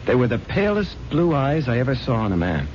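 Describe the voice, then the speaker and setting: A man's voice: medium pitch, sing-song, brisk, darkish tone, a little hiss or hum, natural voice. An actor playing an earnest newspaper reporter pleading his case to his editor in a radio drama. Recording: lo-fi old radio recording.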